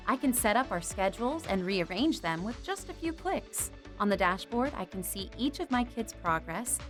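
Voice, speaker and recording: Female ad voice, scripted testimonial, faint music bed. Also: medium pitch. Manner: smooth, scripted testimonial delivery. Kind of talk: read advertisement testimonial.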